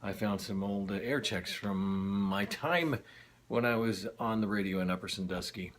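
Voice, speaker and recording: A middle-aged American man chatting casually to camera in a halting, wry way. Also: lowish voice, a bit bright. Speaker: vlogger, former radio announcer.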